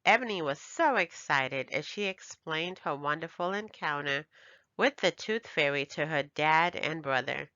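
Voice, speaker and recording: A woman's voice: low-pitched, expressive, a little slow, bright, forceful tone, no room sound, muffled recording. A woman narrating a children's storybook as a scripted read-aloud for young readers.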